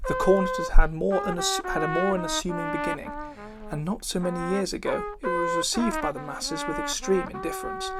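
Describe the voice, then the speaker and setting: Low female voice, formal read-aloud, occasional stumbles, wildly swinging pitch, loud cornet playing throughout. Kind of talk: reading an old instrumental method book introduction aloud. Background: live brass cornet playing.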